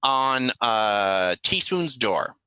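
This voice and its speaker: A man acting as game master, improvising narration for a tabletop game in an informal, halting way. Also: medium pitch, very animated, bright, forceful tone, smooth, dry recording.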